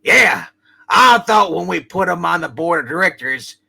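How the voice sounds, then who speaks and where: A man voicing a weary, blustering, crude older father with a raspy voice in a hammed-up comedic play reading. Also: high-pitched, expressive, normal speed, pushed and bright, full character voice. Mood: grumbling.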